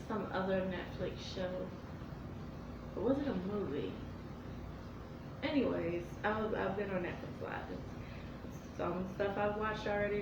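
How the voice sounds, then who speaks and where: A woman's voice: medium pitch, far from the mic. A young woman chatting casually in a vlog, answering viewer questions, meandering with lots of fillers and restarts. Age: young adult. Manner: meandering, with many fillers and self-corrections. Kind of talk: casual rambling vlog chat. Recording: echoey room, off-mic, noisy.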